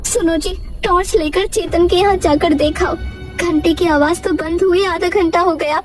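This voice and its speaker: A woman voicing a worried wife and mother in an animated moral tale. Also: extremely high pitch, moderate pace, music in the background, voice pushed up. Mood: anxious. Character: worried wife and mother, anxious about her son.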